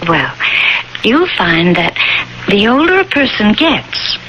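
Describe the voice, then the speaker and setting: A smooth, evasive woman of thirty in an old radio drama. She coaxes with swooping intonation and faint condescension. The broadcast sound is dull with slight noise. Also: mid-range voice, big pitch swings, slow, fairly bright tone. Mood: smooth, reassuring.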